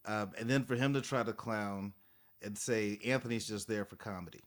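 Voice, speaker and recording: A man co-hosting a casual podcast, giving his opinions in a measured, thoughtful way. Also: lowish voice, steady tone. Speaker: podcast co-host. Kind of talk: casual recap chat.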